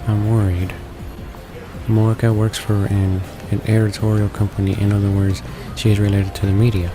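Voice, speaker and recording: A man with a low, fairly even voice reads game text aloud in a let's play. He trips over words here and there, and music plays clearly behind him. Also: normal speed. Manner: stumbles over words while reading. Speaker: let's play host reading a visual novel aloud.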